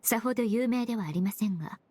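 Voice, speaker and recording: Composed young woman investigator voiced by an actress, higher voice. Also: fast, no room sound, close to an everyday voice. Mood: coolly probing. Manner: calm, polite, methodical. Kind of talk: Japanese game dub dialogue.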